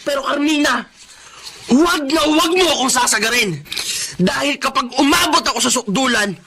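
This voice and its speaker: High-pitched, gravelly arrogant Filipino husband. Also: male voice, normal speed, a crowd in the background. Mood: bitter, threatening. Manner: forceful, scornful. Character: arrogant philandering husband, menacing and accusing. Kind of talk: Filipino radio drama acting.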